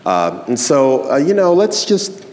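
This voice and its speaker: A man's voice: medium pitch, big pitch swings, measured pace, a little mellow, big-room sound. A middle-aged man moderating a live conference panel on stage, talking in a friendly, rambling way full of "um" fillers.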